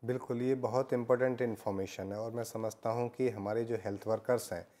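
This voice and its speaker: A man's voice: mid-range voice, fairly even intonation, a little fast, warm tone. A man hosting an educational program, affirming and summarizing the guest's points with some fillers. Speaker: host. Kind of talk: educational talk on live program.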